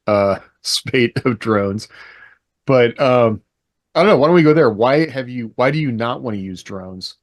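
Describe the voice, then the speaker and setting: A man's voice: mid-range voice, no room sound, studio quality. A man co-hosting a podcast, chatting casually, joking and steering the talk with questions. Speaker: podcast co-host and author. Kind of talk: casual panel discussion.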